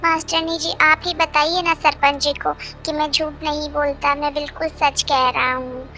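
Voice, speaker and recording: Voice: high-pitched, flat delivery, moderate pace, bright, forceful tone, faint music behind. A performer voicing an earnest, honest, polite young schoolboy in a cartoon. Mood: earnest pleading.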